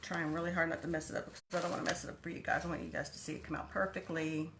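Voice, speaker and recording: A woman's voice: sits low, little pitch movement, rapid-fire, creaky, background noise. A woman from Texas with a Texan accent, hosting a casual live cooking stream and chatting with viewers by name in a kitchen. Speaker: cooking livestream host. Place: home kitchen.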